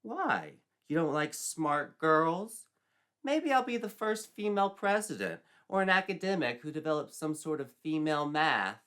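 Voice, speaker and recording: Man putting on an earnest, high-pitched teenage girl. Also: sing-song, a little slow, a bit bright, smooth. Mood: indignant. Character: earnest, assertive teenage girl, breathlessly prim.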